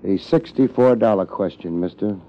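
Actor as a terse American private eye, muffled radio. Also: male voice, on the low side, moderate pace, lightly acted. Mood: wry. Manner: terse, hard-boiled. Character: tough, unflappable male private investigator narrating his case. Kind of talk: 1950s American radio detective drama, narration and dialogue. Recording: muffled, noisy old radio recording.

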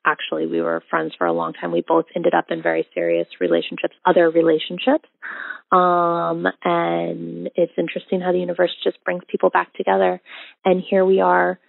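A woman in her thirties tells her love story in a low, flat voice with a heavy gravelly fry. She speaks reflectively with frequent ums and pauses. The sound is dry and narrow, like a phone line. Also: no room sound. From Indonesia. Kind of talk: casual personal storytelling. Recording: tinny phone-like audio.

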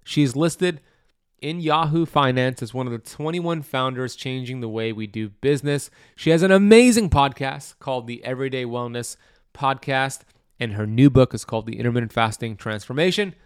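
A man's voice: mid-range voice, moderate pace, clear, resonant tone, no echo, studio quality. A man hosting a health podcast, reading promotions and introducing his guest in an upbeat, enthusiastic way. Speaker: podcast host. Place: home in Miami.

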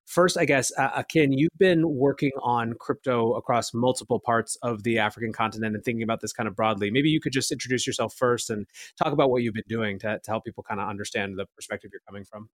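A man's voice: medium pitch, fast, hardly any pauses, dry recording, studio quality. A man hosting a podcast, opening the show and then chatting casually with his guests. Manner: upbeat, conversational with fillers. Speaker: podcast host. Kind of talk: podcast intro and interview.

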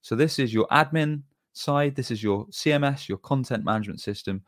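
A man's voice: medium pitch, brisk, no room sound, studio quality. A man giving an explanatory tutorial as an agency presenter, talking informally and going through things step by step. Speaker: web design agency presenter.

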